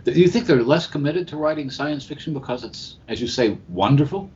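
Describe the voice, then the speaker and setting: Animated man, lo-fi recording. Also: a little high, fairly quick. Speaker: podcast co-host. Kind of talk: conversational discussion. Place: live convention recording in a hotel. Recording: dull lo-fi sound, faint background noise.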